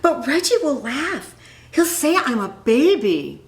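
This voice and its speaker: A woman's voice: high voice, very animated, takes it slowly, pitched above the performer's own voice, full character voice. A woman voicing an anxious, defensive young boy in a storybook, in a room with echo. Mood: worried. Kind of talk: storybook character reading. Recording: roomy, echoing room sound.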